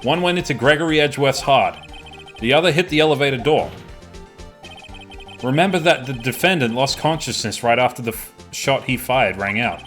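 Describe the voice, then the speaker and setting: A man's voice: medium pitch, animated, moderate pace, fairly bright tone, faint music behind, lightly acted. Young male defence lawyer, acted by a man, medium register, earnest, insistent and emphatic, amateur game dub.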